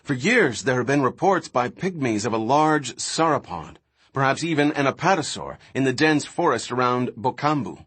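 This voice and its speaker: A man's voice: medium pitch, very animated, moderate pace, a bit bright, husky. A man voicing a pushy, eager male academic in an audiobook, speaking with earnest insistence. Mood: insistent.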